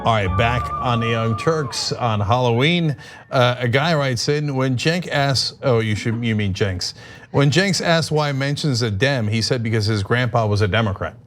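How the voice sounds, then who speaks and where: A man of middle pitch reading viewer comments aloud on a live show. He chats casually and drops wry asides between messages. His voice is plain and conversational. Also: normal speed, faint music behind.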